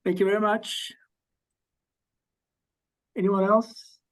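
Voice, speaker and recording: A man, one of the journal's editors, moderating an online workshop, with quick thanks and hand-offs to each speaker. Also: high-pitched, flat delivery.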